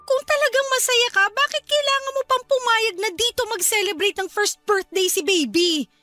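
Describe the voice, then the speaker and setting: A woman's voice: very high voice, moderate pace, bright, forceful tone, faint music behind. A woman playing a young wife and new mother who is upset with her husband, hurt and close to tears, in a radio drama. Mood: hurt pleading.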